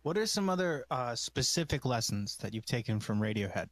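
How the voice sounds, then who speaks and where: A man's voice: mid-range voice, big pitch swings, fairly quick, no room sound. A man hosting a podcast, asking curious follow-up questions in casual conversation. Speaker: podcast host.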